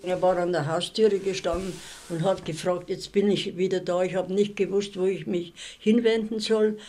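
A woman's voice: fairly low pitch, fairly even intonation, normal speed, warm tone, faint music behind. An older woman with a Bavarian accent recounting memories as an eyewitness, telling them plainly with reported speech. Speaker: eyewitness interviewee. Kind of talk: spoken recollection in an interview.

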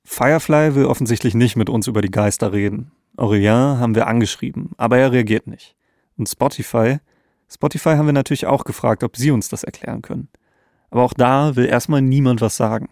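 A man's voice: medium pitch, fairly quick, darkish tone, no room sound, clean studio sound. A man co-hosting an investigative podcast in a conversational narrating style. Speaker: podcast co-host.

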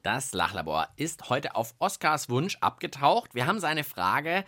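A man with a bright, forceful voice and very lively intonation. He presents conversationally as co-host of a science show for children. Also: mid-range voice, measured pace.

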